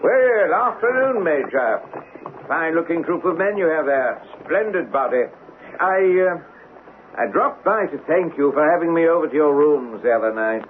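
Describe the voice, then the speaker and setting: A man's voice: on the high side, lively intonation, normal speed, lightly acted. Villain for radio melodrama male actor: wizened officer, oily, bullying, wildly varied intonation, tinny vintage broadcast. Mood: smug. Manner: oily, theatrical, insinuating. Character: wizened, creepy, domineering British army captain. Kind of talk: old-time radio melodrama acting. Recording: tinny band-limited old radio broadcast, hiss.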